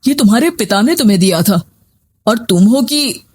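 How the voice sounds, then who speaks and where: A man's voice: high voice, animated, normal speed, darkish tone, slightly rough. Guy acting a serious troubled family man in a story, earnest delivery. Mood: worried. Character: troubled husband or stern father in a family story.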